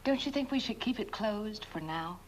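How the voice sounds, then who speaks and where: Lively-pitched, composed bride, played by an actress. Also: female voice, normal speed, close to an everyday voice. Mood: polite, uneasy. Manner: tactful, restrained. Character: polite, composed new bride. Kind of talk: film dialogue.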